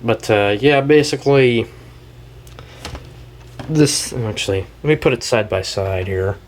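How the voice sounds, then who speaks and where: Casual male video host, mid-pitched, halting and rambling show-and-tell delivery, faintly noisy amateur recording. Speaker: video host and weather radio collector.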